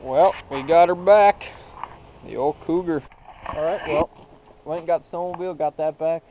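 A young man chats casually to the camera about his plans, drifting along with easy filler words. The sound is a bit dull, as from a small action camera, and steady noise sits clearly behind his voice. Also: fairly high pitch, moderate pace. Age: young adult. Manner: laid-back, rambling, lots of "so" and "yeah". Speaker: vlogger. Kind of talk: casual vlog narration. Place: garage, outdoors in snow, inside a truck.

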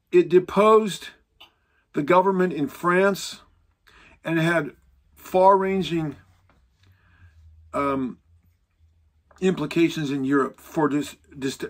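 A man in his sixties teaching to camera from a book-lined home study, emphatic and animated, stressing points by raising his voice. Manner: emphatic, pitch rising when stressing lists; animated, gesturing with both hands. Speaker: Bible teacher. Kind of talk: lecture-style teaching to camera. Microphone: seated close to a fixed camera.

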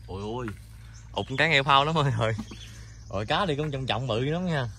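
Man, Southern Vietnamese accent, fishing vlog, excited repeated calls, outdoor pond, birdsong and country noise behind. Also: mid-range voice, fast talker. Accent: Southern Vietnamese (Mekong Delta). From Mekong Delta, Vietnam. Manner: excited, repeating words while reeling in. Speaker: fishing vlogger. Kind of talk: live fishing commentary. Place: outdoors by a muddy pond in rural greenery.

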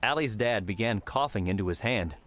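A man's voice: on the low side, expressive, brisk, fairly bright tone. A man narrating a scripted story as an audiobook narrator.

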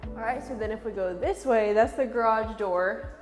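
Young woman vlogger, upbeat house-tour chat, long reverb from bare rooms, soft music under. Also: fairly high pitch, normal speed. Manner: chatty and upbeat, laughs often. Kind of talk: casual house tour narration. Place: large empty house, indoors. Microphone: camera mic from a few steps away.

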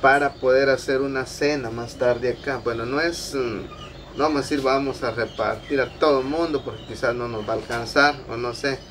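A Guatemalan man in his thirties talks easily with the family, full of little fillers, at a middle pitch. He is picked up from a few steps away on an outdoor patio. Birds and outdoor ambience are clear behind him. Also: fairly even intonation, moderate pace, a bit bright, slight background noise. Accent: Guatemalan Spanish. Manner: friendly, talks with many fillers like "va" and "pues". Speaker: host of a charity video channel. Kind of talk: casual on-site interview. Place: outdoor concrete-block patio of a home. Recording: distant camera sound, birds and ambience behind.

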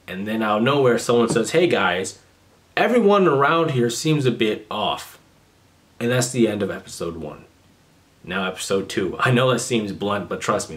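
A young man talks straight into his webcam from a small bedroom, his voice sitting at an ordinary mid pitch. He sounds sarcastic and mocking, and he swears freely as he runs through a casual commentary. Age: young adult. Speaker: commentary youtuber.

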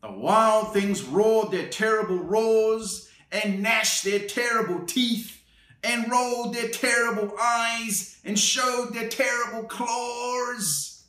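A man in his thirties reading a picture book aloud, slow and expressive, with playful asides, seated in an armchair indoors. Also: high voice. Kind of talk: children's storytime read-aloud. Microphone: camera on a tripod a few feet away. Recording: roomy, slightly distant sound.

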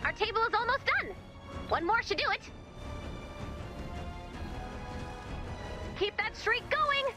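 Chirpy female game robot: very high, animated, earnest and dramatic, music underneath. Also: a little fast. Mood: excited. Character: perky, earnest little female robot directing a film. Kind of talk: video game character voice acting.

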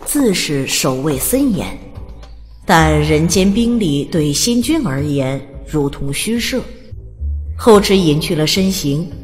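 A woman narrates a fantasy audio drama in a low, expressive voice with wide swings of intonation. Her delivery is scripted and dramatic. There is long reverb on the voice. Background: faint music.

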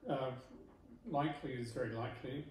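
Measured man in an echoey home room. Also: mid-range voice, crowd noise. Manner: measured, polite, appreciative. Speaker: client giving feedback. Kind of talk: casual interview. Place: home dining room, indoors. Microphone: far camera. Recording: echoey room, far from mic, noisy.

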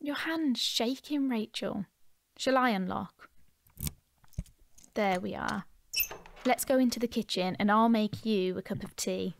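An actress playing a caring, steady female friend in an audio drama, soothing and practical. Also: medium pitch, measured pace, creaky, pauses often, slight background noise.

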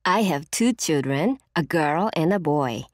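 Busy practical mum, played by a woman, low voice, learner-audio small talk, dry close sound. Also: lively intonation, unhurried, dry recording. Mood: matter-of-fact. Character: busy, matter-of-fact mother of two. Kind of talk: scripted small talk for an English listening exercise.

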